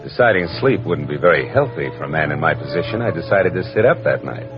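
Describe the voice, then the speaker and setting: Tough cynical american private eye, low voice, muffled old radio show w music under it. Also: male voice, lively intonation, fast. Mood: tense narration. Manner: sardonic, slangy, hardboiled. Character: tough wisecracking male private detective, cynical and commanding. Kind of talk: hardboiled 1940s-50s radio detective acting and narration.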